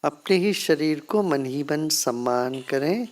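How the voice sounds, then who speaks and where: A meditation teacher with an Indian accent guiding a practice in a calm, unhurried, gently instructing way with long pauses. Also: medium pitch.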